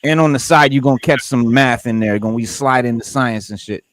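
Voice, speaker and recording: A man's voice: medium pitch, fairly quick, a crowd in the background. A man in his forties co-hosting a casual hip-hop chat, emphatic and loose, with plenty of profanity and stammered restarts. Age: 40s. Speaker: podcast co-host.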